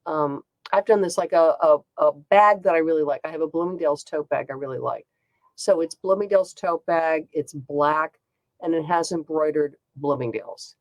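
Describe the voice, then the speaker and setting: A woman's voice: low voice, no echo, poor audio. A woman with a congested voice hosting a casual chat, talking in a chatty way with frequent ums. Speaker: host.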